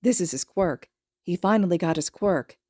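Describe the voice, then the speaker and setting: A woman's voice: lowish voice, animated, normal speed, dark tone, dry recording, clean studio sound. A woman reading a story aloud as scripted audiobook narration. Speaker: podfic narrator.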